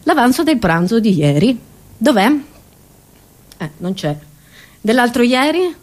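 A woman performing a theatrical, satirical comedy monologue, expressive and ironic, with rhetorical asides to the audience. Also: fairly high pitch, a little slow. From Italy.

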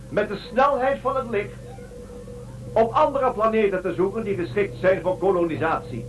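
Actor as high-voiced, solemn starship commander. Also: male voice, rough recording. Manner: formal, declamatory speechmaking. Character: solemn starship commander addressing his fellow travellers. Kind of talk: science-fiction radio drama monologue.

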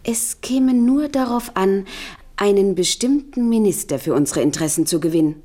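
A woman's voice: mid-range voice, expressive, normal speed, mellow, lightly acted. A woman playing a young, coolly ambitious schemer in a German radio play, polite and insinuating in manner. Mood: persuasive. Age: young adult.

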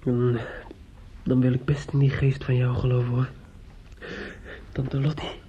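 An actor playing a grieving, bitter and desperate young man in a radio drama, halting and often dropping into whispers. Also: mid-range voice, flat delivery, dark tone, natural voice. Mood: pleading, hushed. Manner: halting, repetitive, drops into whispers. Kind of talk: radio drama monologue acting. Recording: dull, noisy old radio recording.

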